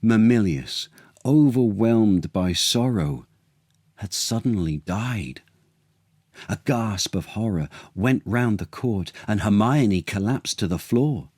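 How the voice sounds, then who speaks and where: A man reading a children's story as dramatic narration, deliberate, expressive and theatrical. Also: fairly low pitch, husky, no room sound, clean studio sound.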